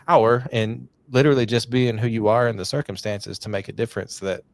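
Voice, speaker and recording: A man's voice: medium pitch, fairly even intonation, fairly quick, dry recording. A middle-aged man hosting a podcast, talking in an earnest, encouraging, rambling way. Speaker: podcast host. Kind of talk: casual podcast conversation.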